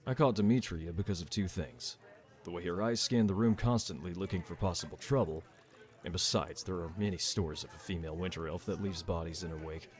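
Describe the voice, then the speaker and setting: A man playing a calm, fatherly bartender and former royal guard in a fantasy audio drama, measured and easygoing. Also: deep voice, natural voice. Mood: easygoing, amused. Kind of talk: amateur fantasy audio roleplay acting.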